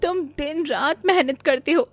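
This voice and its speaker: A woman's voice: high-pitched, unhurried, soft background music, voice pushed up. A woman voicing an anxious, pleading young village wife and mother in a cartoon story. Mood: worried.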